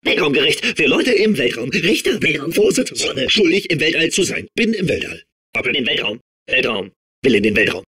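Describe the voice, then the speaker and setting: A man playing a manic, childlike robot sphere obsessed with outer space in a video game, babbling and repeating himself in a strained, husky voice. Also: on the high side, fairly quick. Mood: giddy. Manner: excitable, babbling, repeating words compulsively. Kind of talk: video game character voice clips.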